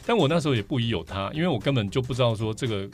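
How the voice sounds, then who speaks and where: A man's voice: medium pitch, animated, very fast, faint music behind. A man with a Taiwanese Mandarin accent, chatting casually as a podcast guest and telling anecdotes with playful asides. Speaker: podcast guest, vinyl record collector. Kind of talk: casual interview storytelling.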